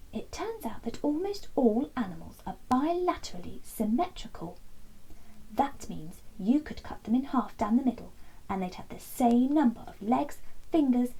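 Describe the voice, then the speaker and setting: A woman reading a children's bedtime story aloud in a lively, expressive way, dropping to soft whispers for asides. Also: fairly high pitch, breathy edge, rough recording.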